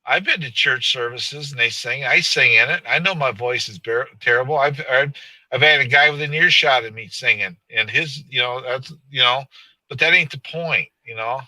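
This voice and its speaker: Bright-voiced man chatting on a live stream. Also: medium pitch, moderate pace, no echo, poor audio. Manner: rambling, joking, laughs often. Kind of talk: casual live stream chat.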